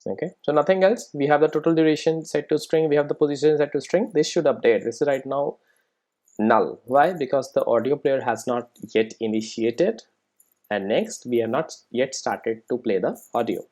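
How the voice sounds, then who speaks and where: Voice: medium pitch, normal speed, a little mellow. A programming instructor giving a casual step-by-step screen-recorded tutorial, often checking in with "right?" and "okay".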